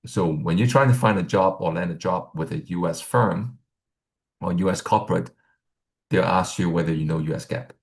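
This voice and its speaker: A middle-aged man from Hong Kong explains career options like a relaxed instructor, with a very low, gravelly voice. He rambles and keeps checking in with "right?" as he goes. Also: normal speed. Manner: rambling, many fillers and "right?" tags. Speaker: accounting exam instructor. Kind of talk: casual teaching talk.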